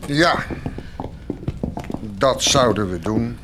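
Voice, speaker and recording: An actor playing a stubborn, plain-spoken peasant who is respectful but firm, in a radio drama. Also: male voice, mid-range voice, expressive, extremely slow, over music. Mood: matter-of-fact.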